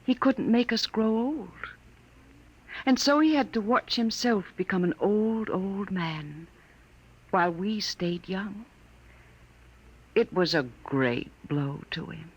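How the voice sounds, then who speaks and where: An actress playing an ageless android wife in a 1950s radio drama, soft-spoken, wistful and grieving with quiet dignity. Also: female voice, mid-range voice, slow, pauses often, breathy edge, low-quality recording. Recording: vintage 1950s radio broadcast recording.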